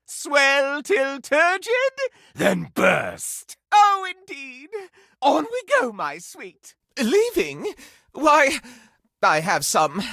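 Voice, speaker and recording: A man's voice: extremely high pitch, creaky, pushed and bright, dry recording, clean studio sound, exaggerated voice. A man voicing a flamboyant, pompous courtly dandy, a living candelabra in a video game, with florid, theatrical, grandiloquent delivery. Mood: amorous, delighted.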